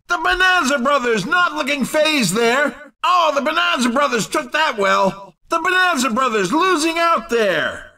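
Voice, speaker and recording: A man giving excited video game race commentary in punchy, exclamatory short calls. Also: extremely high pitch, far from the mic.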